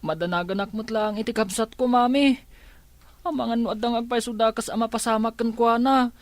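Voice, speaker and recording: A man's voice: extremely high pitch, fairly even intonation, a little fast, no echo. A man playing a respectful, concerned young son in a drama, with a Filipino accent. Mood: apologetic, worried. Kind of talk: Ilocano radio drama acting.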